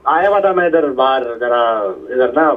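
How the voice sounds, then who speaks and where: A man's voice: fairly high pitch, lively intonation, moderate pace. A young man talking informally as a caller on a phone line. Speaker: prank call victim, husband. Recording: thin distant phone line.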